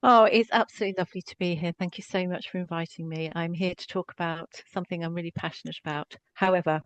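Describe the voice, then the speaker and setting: A woman's voice: on the low side, fairly even intonation, fast, dry recording. A woman in her sixties with an English accent, a psychotherapist talking openly and chattily about herself as a podcast guest. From Scotland. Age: 60s. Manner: warm, open and chatty, self-deprecating. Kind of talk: casual podcast conversation.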